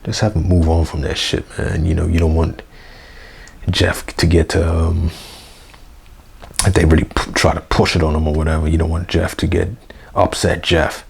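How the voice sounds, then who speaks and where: Young dude with a hoarse gravelly voice, low and flat, rambling w/ lots of you know man. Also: moderate pace, slight background noise. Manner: slangy, swears casually. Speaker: wrestling review vlogger. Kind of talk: casual unedited review monologue. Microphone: basic mic, plans a new one.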